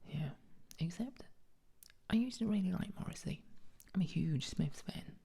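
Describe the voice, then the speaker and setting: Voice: medium pitch, lively intonation, a little slow, gravelly, warm tone, husky. A speaker, whispering an ASMR commentary with dry, jokey asides while showing paintings.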